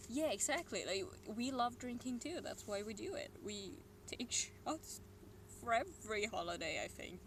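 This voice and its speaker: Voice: mid-range voice, expressive, normal speed. Rambling Swedish-accented streamer, noisy ambience. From Sweden. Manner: rambling, meandering, with muttered and whispered asides. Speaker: livestreamer. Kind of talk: casual solo stream chat.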